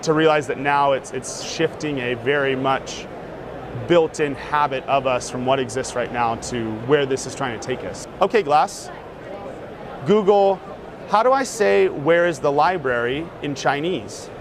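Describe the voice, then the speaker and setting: A man casually demonstrating a gadget in an interview, relaxed and full of fillers. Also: fairly high pitch, a little slow. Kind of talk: casual demo talk. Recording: noisy outdoor street sound.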